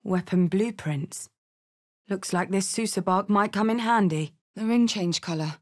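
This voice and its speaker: A woman's voice: mid-range voice, unhurried, darkish tone, lightly acted. An actress voicing a battle-hardened tiefling barbarian woman in a video game, with a blunt, earthy, wry way of talking. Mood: matter-of-fact. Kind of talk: video game character voice lines.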